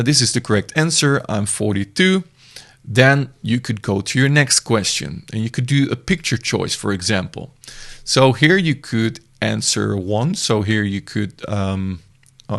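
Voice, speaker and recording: Man with a gravelly voice, casual and meandering as he walks through software on screen, often restarting mid-sentence. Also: medium pitch. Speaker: software reviewer. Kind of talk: screen-recorded software demo.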